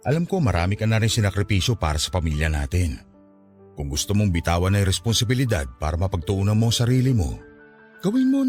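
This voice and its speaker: A Filipino man playing a patient, resigned older father in a radio drama, soothing and forgiving in his manner. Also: fairly low pitch. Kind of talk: Tagalog radio drama acting. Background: background music.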